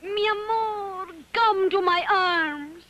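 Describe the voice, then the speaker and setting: Shrill, melodramatic Spanish siren. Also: female voice, slow. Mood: amorous. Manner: melodramatic, swooning. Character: melodramatic, passionate Spanish flamenco dancer woman. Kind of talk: 1960s TV cartoon acting.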